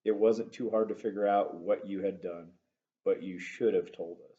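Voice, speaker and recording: A man reading the part of a booming, good-humored farm father. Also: steady tone, normal speed, warm tone, dull audio, lightly acted. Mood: fatherly.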